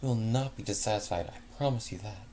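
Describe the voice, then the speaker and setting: A man reading a fanfic aloud, voicing a gracious, generous female pony with a higher voice. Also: brisk, dark tone, a little hiss or hum, lightly acted. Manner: gracious, polite.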